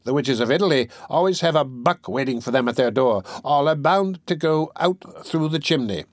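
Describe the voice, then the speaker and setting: A man voicing a sly male chieftain in falsetto, instructing his followers about mysterious things in a storybook reading. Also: lively intonation, moderate pace, no echo, clean studio sound, natural voice. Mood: knowing. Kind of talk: storybook character voice in an audiobook.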